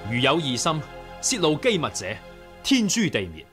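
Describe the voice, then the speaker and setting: An actor playing an earnest, courteous young rebel chief, speaking formally and resolutely in a radio drama. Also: male voice, a little high, wildly swooping pitch, normal speed, a bit bright. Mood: solemn. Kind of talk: Cantonese radio drama acting. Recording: background music under the voice.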